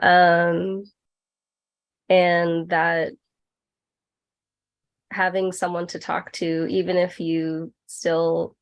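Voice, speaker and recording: A woman speaking as a workshop panelist in an informal, halting and reflective way. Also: lowish voice, flat delivery, smooth. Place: online video call.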